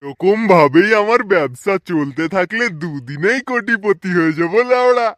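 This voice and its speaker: A man voicing a greedy, lecherous small-time kidnapper in an amateur comic cartoon, with a slow, gloating drawl. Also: high-pitched, expressive, a bit bright. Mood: leering. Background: faint music.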